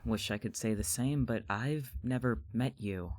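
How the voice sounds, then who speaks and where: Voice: medium pitch, unhurried, a little mellow, lightly acted. An actor in an audio drama playing a battered young protagonist who is wary, sarcastic and hesitant. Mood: wary, dry. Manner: dry, hesitant, exasperated.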